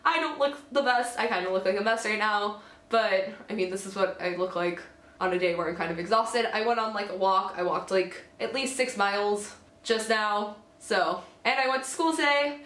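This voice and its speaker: A teenage girl vlogging casually to camera at home, a little hoarse from a cold, chatty and full of fillers and self-corrections. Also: mid-range voice, normal speed, a bit bright, reverberant. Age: teens. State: has a cold, slightly hoarse. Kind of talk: casual talking-head vlog. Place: small indoor room at home. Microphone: camera at close range.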